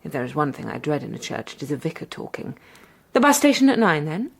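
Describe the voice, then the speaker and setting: A woman's voice: deep voice, sing-song, quick pace, vocal fry, slightly rough, full character voice. An actress playing a cultured, wry young woman teacher in a radio drama, articulate and dryly aloof. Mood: dry, assured. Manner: arch, dry, articulate.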